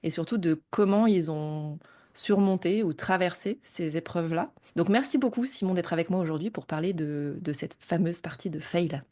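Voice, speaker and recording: A woman hosting a business podcast, interviewing a guest in a relaxed, conversational way with occasional fillers. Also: fairly low pitch, moderate pace. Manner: friendly, scripted intro then relaxed chat. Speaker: podcast host.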